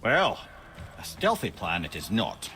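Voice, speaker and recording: An actor playing a wry, worldly old counsellor, telling tales eloquently in a video game. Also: male voice, sits low, wildly swooping pitch, unhurried, pushed and bright, a little hiss or hum, exaggerated voice. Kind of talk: dramatic video game acting.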